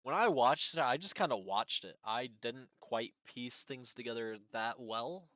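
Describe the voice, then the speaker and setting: A man hosting a reaction video with casual unscripted commentary, halting and repeating his phrases. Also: mid-range voice, pushed and bright, no echo.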